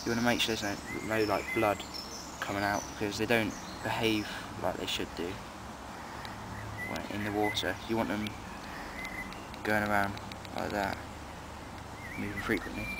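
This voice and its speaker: A man's voice: on the low side, normal speed, pauses often. A young man casually explaining his fishing setup as he goes, filmed outdoors by a pond on a handheld camera. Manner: relaxed, chatty, explains as he goes. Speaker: angler vlogger. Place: outdoors by a pond among trees. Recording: loud outdoor noise.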